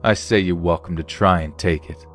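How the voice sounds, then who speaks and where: Guy reading as a fired-up young guy, deep voice, quick heated lines. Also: a bit bright, soft background music. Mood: angry. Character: angry young man defending a victim.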